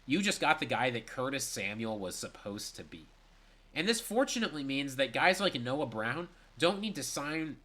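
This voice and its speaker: A man's voice: medium pitch, bright, forceful tone. Congested young man breaking down sports news. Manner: brisk and analytical, with emphatic stress. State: congested, stuffy. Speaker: sports analyst. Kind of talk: solo sports reaction commentary.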